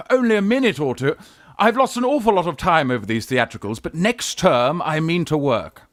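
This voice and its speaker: A bashful young English lord of student age played by a man in a Victorian radio farce. His voice swings about in a highish register, close and dry, stammering good-naturedly through upper-class slang. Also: lively intonation, moderate pace, dry recording, close to an everyday voice. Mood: amiable. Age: young adult. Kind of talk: radio play farce acting.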